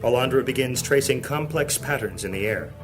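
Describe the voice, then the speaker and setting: A man narrating a high fantasy audio drama as a solemn, scripted storyteller. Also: fairly quick, over music, lightly acted.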